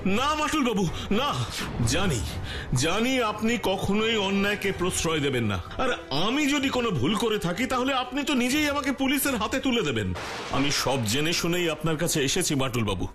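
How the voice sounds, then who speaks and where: A man's voice: sits high, animated, moderate pace, over music. A man voicing an anxious, deferential bank manager in a children's cartoon, imploring and repeating his phrases. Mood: desperate pleading. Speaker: voice actor in a cartoon. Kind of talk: dubbed children's cartoon dialogue.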